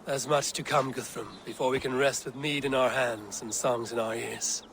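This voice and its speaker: A man playing a calm, strategic Viking leader. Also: mid-range voice, moderate pace, a little hiss or hum, close to an everyday voice. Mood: measured. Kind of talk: video game voice acting. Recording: game audio with music behind.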